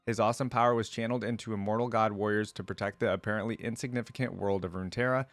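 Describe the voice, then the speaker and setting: Male reaction host, lower register, dry recording, casual asides between read-aloud passages. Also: steady tone, rapid-fire, dry recording, soft background music. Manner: informal, rambling asides. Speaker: host of a game lore reaction channel. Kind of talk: casual reaction chat mixed with reading aloud.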